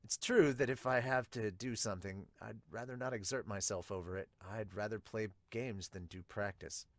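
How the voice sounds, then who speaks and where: A man voicing a quiet, reluctant teenage gamer boy in a manga dub, speaking in a subdued, flat way. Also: on the low side, normal speed, dry recording, voice pushed down, natural voice. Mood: subdued, listless. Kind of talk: manga dub character reading.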